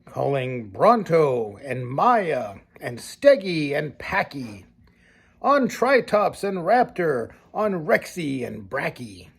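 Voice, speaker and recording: An older man reads a children's story aloud in a gravelly, husky voice. He takes his time like a grandfather at bedtime, shaping the rhymes with expressive, deliberate phrasing and slipping in casual asides. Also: on the high side.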